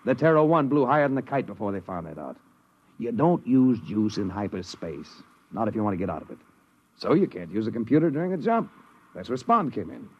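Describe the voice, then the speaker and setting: An American man playing a cynical, slangy spaceship crewman with a rough voice in 1950s radio style. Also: fairly low pitch, big pitch swings, brisk, full character voice. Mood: knowing. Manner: slangy storytelling. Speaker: actor in a radio drama. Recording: muffled vintage radio recording.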